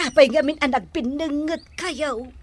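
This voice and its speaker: A woman's voice: sits high, moderate pace, close to an everyday voice. An actress in an Ilocano radio drama playing a nosy, teasing village woman.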